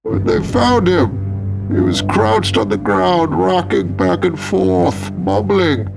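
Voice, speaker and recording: A man's voice: high-pitched, sing-song, slow pace, vocal fry, over music, heavily acted. A man playing a menacing masked villain who tells a spooky story in a theatrical, pompous, scolding way. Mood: ominous.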